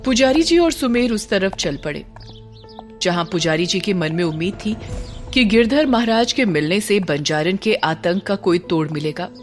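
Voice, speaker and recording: A woman's voice: mid-range voice, lively intonation, moderate pace. Woman narrating an audio drama over music. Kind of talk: dramatic scripted horror story narration in an audio series.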